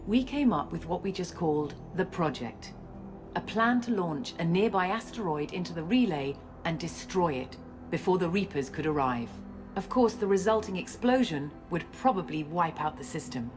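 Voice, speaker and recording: A woman playing a guarded, self-assured scientist and space operative in a video game, explaining things in a measured way. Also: fairly low pitch, animated, lightly acted. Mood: composed, explaining. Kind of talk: video game cutscene acting. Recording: music under the dialogue.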